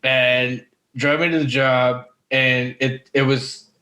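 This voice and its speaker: A man's voice: medium pitch, little pitch movement, takes it slowly, bright, forceful tone. Male podcast host, casual and sweary storyteller, mid-range voice, roomy echoey sound. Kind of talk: casual chat with personal anecdotes.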